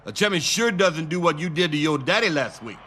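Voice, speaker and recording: Forceful, scolding wrestler. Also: male voice, a little high, animated, brisk, a little hiss or hum. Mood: indignant. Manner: stern, scolding. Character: indignant, moralizing male wrestler scolding a champion. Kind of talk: wrestling promo acting in a video game.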